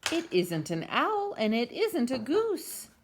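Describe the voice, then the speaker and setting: Storybook neighbor lady, played by a female narrator: indignant, aghast, scolding, with big animated pitch swings. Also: slow pace, slight background noise, heavily acted. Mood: baffled. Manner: exclamatory, scolding. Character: indignant, astonished neighbor housewife, Missus Callahan.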